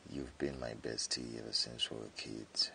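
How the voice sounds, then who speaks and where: Man acting a very deep, gravelly jealous friend. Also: fairly even intonation, sharp tone, a little hiss or hum, exaggerated voice. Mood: earnest, confessing. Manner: hesitant, halting, with stammered repeats. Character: jealous tsundere young male friend confessing hidden love. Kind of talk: intimate boyfriend ASMR roleplay.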